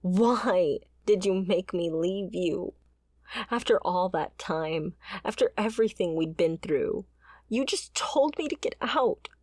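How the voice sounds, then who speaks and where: A fiery female mercenary, acted by a woman for a dramatic ASMR roleplay, speaks slowly and confrontationally. She is a wounded former bodyguard with a sardonic, bitter edge and a slightly low voice. The sound is close and very dry. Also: lively intonation, a little mellow, no echo. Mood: pained, insistent, then hurt, furious.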